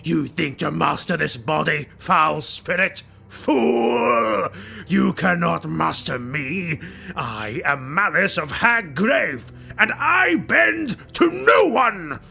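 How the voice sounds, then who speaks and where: A man voicing a proud, wrathful dark elf highborn warrior in a fantasy audiobook, commanding and menacing with theatrical threats. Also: sing-song, slow, creaky, heavily acted. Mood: raging. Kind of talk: dramatic fantasy audiobook acting.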